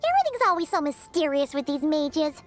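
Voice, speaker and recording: A tiny chirpy fairy girl for a fantasy game. Her squeaky childlike voice is extremely high and swoops about excitably, with music underneath. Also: fairly quick. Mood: puzzled, curious. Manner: refers to self in third person, exclamatory.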